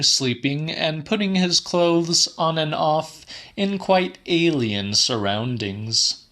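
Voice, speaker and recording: A man reading literary fiction as an audiobook narrator, slightly dramatic, with deliberate, measured phrasing. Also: medium pitch, pushed and bright. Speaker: audiobook reader.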